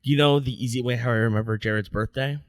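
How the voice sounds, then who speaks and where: Male podcast host, thirties, conversational with scripted storytelling stretches, mid-pitched voice, close dry recording. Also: steady tone, quick pace, dry recording. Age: 30s. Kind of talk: conversational podcast hosting with scripted history narration.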